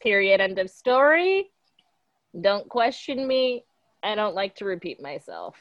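A young woman with a high, youthful voice speaks at an unhurried, deliberate pace. She is blunt and laughs easily, with casual swearing. The sound is dry, as if the mic is close. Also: very animated, dry recording, muffled recording. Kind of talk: casual chat. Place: her apartment, remote call.

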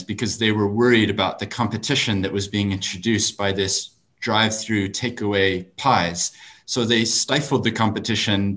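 A man's voice: lowish voice, a bit bright. A man from New Zealand who works as a lawyer, hosting an online panel and asking questions in a friendly way with fillers. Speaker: host and lawyer.

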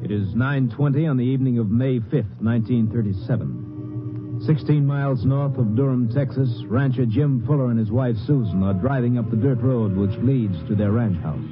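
American radio drama narrator over music. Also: male voice, mid-range voice, moderate pace. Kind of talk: scripted 1950s radio narration. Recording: muffled old radio recording over music.

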